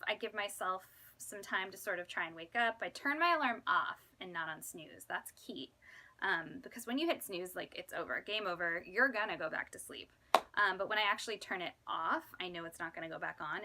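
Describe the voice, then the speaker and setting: A woman in her thirties chatting casually to viewers in an animated, self-deprecating, exclamatory way, like a vlogger. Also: lowish voice, brisk. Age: 30s. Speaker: vlogger and fifth grade teacher. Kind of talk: casual chatty vlog to camera.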